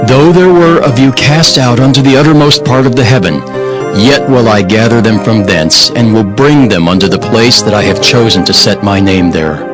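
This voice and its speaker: A man's voice: lowish voice, poor audio. An actor playing an earnest, devout cupbearer telling his own story in dramatized scripture narration, solemn, reverent and formal. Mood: pleading prayer.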